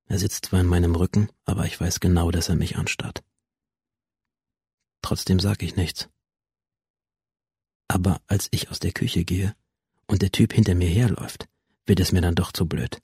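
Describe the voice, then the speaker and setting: Radio drama lead: cold, brooding schoolboy monologue, gravelly voice, close dry sound. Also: male voice, deep voice, fairly even intonation, normal speed, long pauses, darkish tone, no echo, full character voice. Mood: numb, detached. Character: cold, alienated teenage schoolboy narrating his violent inner world.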